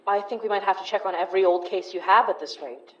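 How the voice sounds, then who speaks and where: A woman's voice: mid-range voice, fast, recorded from a distance, highs cut off, natural voice. An actress playing Mari, a dry, matter-of-fact female investigator in an audio drama. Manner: dry, sardonic.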